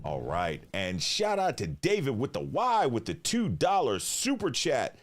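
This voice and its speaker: A man's voice: medium pitch, huge pitch swings, measured pace, slight background noise. A man hosting a live stream, reacting with slangy, profane banter and reading tips aloud. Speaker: livestream host. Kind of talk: casual live-stream commentary.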